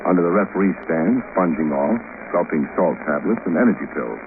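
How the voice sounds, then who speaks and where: An actor with an American accent playing a wry, hardboiled private detective in a sardonic, clipped old radio-drama style. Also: male voice, deep voice, lively intonation, brisk. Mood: probing. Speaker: lead actor, detective narrator. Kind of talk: 1940s-50s American radio detective acting. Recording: noisy, narrow-band old radio recording.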